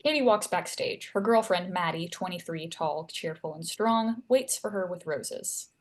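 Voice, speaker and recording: A woman's voice: lowish voice, measured pace. A woman reading stage directions as scripted narration.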